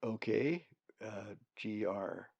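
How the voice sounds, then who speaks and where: A man's voice: lowish voice, extremely slow, gravelly, darkish tone, no echo, muffled recording, exaggerated voice. A man voicing a curious young male raven, asking hesitant questions. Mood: puzzled.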